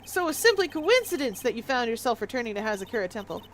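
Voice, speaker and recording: A woman voicing a stern male prosecutor in a game, asking a measured, formal question in her own voice. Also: on the high side, sing-song, a little hiss or hum. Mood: probing.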